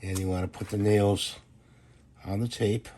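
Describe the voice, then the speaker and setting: A hoarse man with a very low voice talks through a do-it-yourself hack in plain, easygoing steps, like the host of a home repair channel. A faint hiss sits under his voice. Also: steady tone, dark tone. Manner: plain, unhurried instructions with fillers. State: hoarse from a cold. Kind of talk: casual how-to demonstration.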